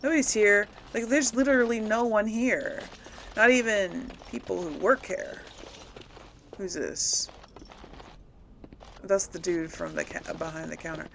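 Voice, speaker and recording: Slow-paced woman casually narrating her gameplay. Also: mid-range voice, steady tone, creaky, a little hiss or hum. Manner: rambling, thinking aloud, chuckling. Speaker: let's play host. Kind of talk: casual unscripted game commentary, talking to viewers.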